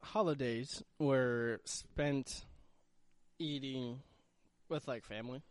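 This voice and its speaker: A man's voice: medium pitch, fairly even intonation, slow pace, clean tone, no echo. A man from Puerto Rico co-hosting a podcast in casual chat, blunt and slangy with short replies. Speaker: podcast co-host.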